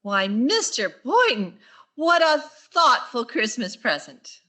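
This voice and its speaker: An actress playing a wry, quick-witted high school English teacher in 1940s radio sitcom style, with dry sarcastic asides. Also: female voice, very animated, pushed and bright, full character voice. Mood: sweetly sarcastic.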